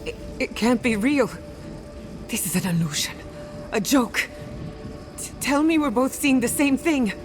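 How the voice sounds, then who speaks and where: A woman's voice: sits high, lively intonation, unhurried, pauses often. An actress playing a headstrong woman adventurer in a video game, shaken and intense, bluntly demanding answers. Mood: disbelieving, distressed. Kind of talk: video game character acting. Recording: music behind the voice. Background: music.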